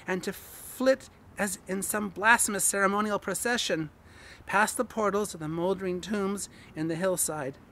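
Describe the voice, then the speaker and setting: Bright-voiced man reading horror, theatrically intense. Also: high voice, a little hiss or hum. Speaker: reader. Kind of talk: dramatic horror narration, scripted literary reading.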